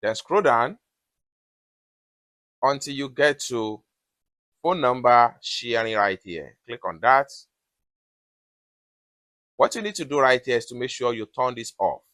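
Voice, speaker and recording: Guy doing a how-to voiceover, medium pitch, step by step instructions, really dry close recording. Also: lively intonation, measured pace, long pauses, fairly bright tone, dry recording. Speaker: tutorial host. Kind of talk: step-by-step phone tutorial narration.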